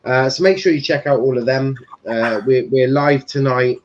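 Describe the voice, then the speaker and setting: A man's voice: medium pitch, normal speed, slight background noise. A young man hosting a casual football commentary stream, rambling with fillers and swearing. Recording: dull lo-fi stream audio.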